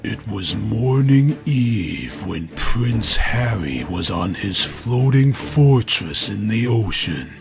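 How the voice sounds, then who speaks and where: A man's voice: lowish voice, lively intonation, slow, gravelly, few pauses, music in the background. A man narrating a scripted story in a mock-dramatic reading style.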